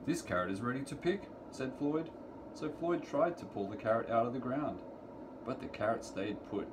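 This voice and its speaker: A middle-aged man with a medium-pitched voice reads a picture book aloud for small children. His phrasing is deliberate and sing-song. The home recording has clear background noise. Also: expressive. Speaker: children's story reader. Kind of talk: read-aloud picture book storytelling for preschoolers. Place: indoors in a room at home. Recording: clearly noisy home recording, room ambience.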